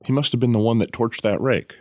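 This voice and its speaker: A man's voice: mid-range voice, steady tone, fast, dark tone, dry recording, natural voice. Man acting a loyal ally, dry sound. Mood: curious. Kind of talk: audio drama acting.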